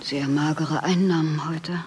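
An actress playing a practical, protective wife in a radio drama, speaking in an earnest, matter-of-fact way. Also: female voice, deep voice, fairly even intonation, unhurried, noisy background. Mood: calm concern.

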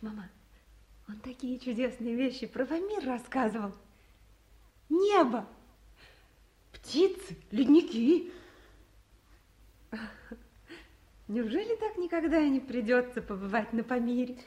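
An actress playing a romantic, impulsive young daughter, earnest and lyrical, in 1950s Soviet radio play style. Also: female voice, a little high, expressive, unhurried, long pauses, darkish tone. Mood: dreamy. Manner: girlish, lyrical, theatrical.

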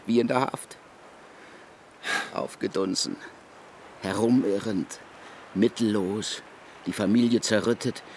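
An actor in a German radio drama playing a scornful criminologist, sarcastic and accusing in a theatrical way. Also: male voice, mid-range voice, creaky, slight background noise. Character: scornful male criminologist confronting a suspected murderer.

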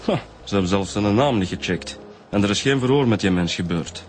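An actor playing an easygoing, slightly evasive homicide detective, explaining hesitantly and colloquially with a Flemish accent in a radio drama. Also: male voice, on the low side, animated, brisk, natural voice. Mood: matter-of-fact, irritated. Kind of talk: naturalistic radio drama dialogue. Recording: radio drama with music bed.

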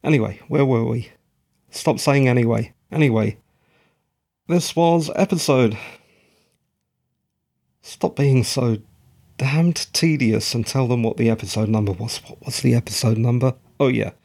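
Croaky, slow-talking man. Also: medium pitch, darkish tone. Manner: rambling, self-mocking asides. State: croaky, tired voice. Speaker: podcast host. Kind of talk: solo podcast recap monologue.

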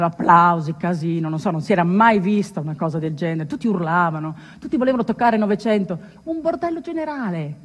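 In a stage monologue, a woman plays a ship's musician retelling his friend's legend, emphatic, with long suspenseful pauses and sudden outbursts. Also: on the low side, a little mellow, close to an everyday voice. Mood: excited. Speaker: stage actor. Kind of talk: theatrical monologue, dramatic storytelling. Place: theatre hall, live stage performance. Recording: reverberant hall recording with clear background noise.

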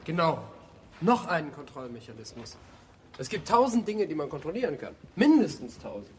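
A man's voice: a little high, very animated, normal speed, slight background noise. An actor playing a curious, mildly sceptical man who asks short probing questions and gives dry replies in a radio play. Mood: engaged, sceptical. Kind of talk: German radio play, conversational acting.

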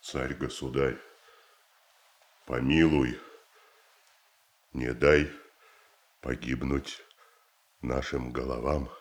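A man's voice: very deep voice, level tone, very slow, long pauses, exaggerated voice. An actor playing an earnest commoner beseeching his tsar, pleading and emphatic in a classical radio tragedy. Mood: hushed pleading. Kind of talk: declamatory classical tragedy acting.

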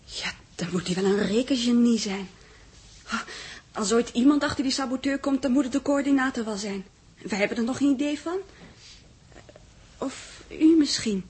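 A woman playing a curious, lightly teasing assistant with a husky voice in a 1960s radio drama. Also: a little high, normal speed, long pauses, darkish tone. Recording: old 1960s radio recording.

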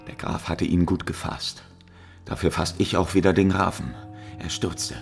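Callous hired killer, very deep and gravelly, sly, matter-of-fact, vintage 1950s radio drama. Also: male voice, flat delivery, moderate pace, soft background music. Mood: grimly sly. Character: callous, businesslike male hired killer, rough bandit.

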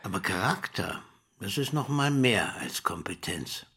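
An older male actor playing a courtly, flattering school principal in a radio drama, husky, formal and measured, with weighty pauses. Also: fairly low pitch, exaggerated voice. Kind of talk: German radio drama acting.

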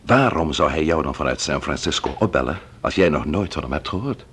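An actor playing a polite but persistent police inspector in a radio play, questioning insistently. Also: male voice, rumbling low, lively intonation, a little fast, slight background noise. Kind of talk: Dutch radio play dialogue.

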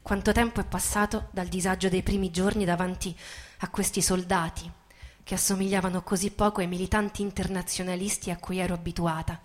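A woman giving a dramatic literary stage reading, expressive and measured, with deliberate pauses. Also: fairly low pitch. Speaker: stage performer reading a narrative. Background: live musical accompaniment with guitar.

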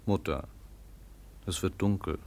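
Low, gravelly, sombre grown son. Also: male voice, measured pace, darkish tone. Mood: gentle, sombre. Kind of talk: 1950s German radio drama acting. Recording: old 1950 radio production.

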